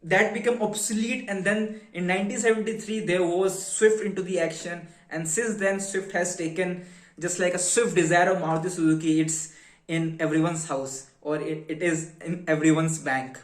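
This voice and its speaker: A man's voice: sits high, steady tone, moderate pace. A man with an Indian accent giving an informal explanatory lecture, emphatically posing questions and then answering them. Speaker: educator presenting a finance explainer. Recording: roomy, echoing sound.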